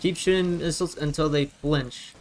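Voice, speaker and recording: A man from the United States giving casual gameplay commentary, rallying teammates with quick repeated calls. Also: fairly high pitch, fairly even intonation, noisy background. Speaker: gamer streamer.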